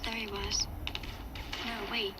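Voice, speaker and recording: Woman playing young girl survivor telling her story, sombre n watchful, pretty flat, loud noise behind her. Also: mid-range voice, slow pace, close to an everyday voice. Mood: sombre, tense. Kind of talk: dramatic first-person audio log narration. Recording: loud ambient sound behind voice.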